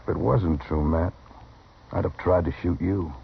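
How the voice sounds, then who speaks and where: An actor playing a cold, proud western gunslinger with an unhurried, menacing, matter-of-fact manner in a radio drama. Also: male voice, low voice, steady tone, gravelly. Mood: cool, untroubled. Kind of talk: old-time radio western acting. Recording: dull, noisy vintage radio recording.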